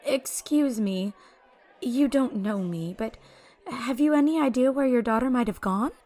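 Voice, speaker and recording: A woman playing a polite, naive, youthful-seeming fey traveler with a soft, hesitant manner in a fantasy audio drama. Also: fairly high pitch, a little slow. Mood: concerned.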